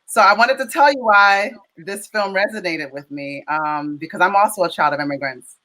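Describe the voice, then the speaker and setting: An American woman with a fairly low voice co-hosts a film Q&A. She is chatty and playful, putting her questions in a casual, conversational way. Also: moderate pace, pushed and bright, rough recording. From Chicago, United States. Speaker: co-host interviewer.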